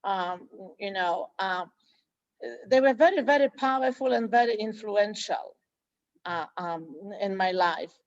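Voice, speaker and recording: A woman from Poland, a school director, speaking English as a guest in an interview and pausing often with fillers and restarts. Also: mid-range voice, no room sound, lo-fi sound. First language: Polish. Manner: hesitant, many fillers and restarts. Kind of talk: interview conversation.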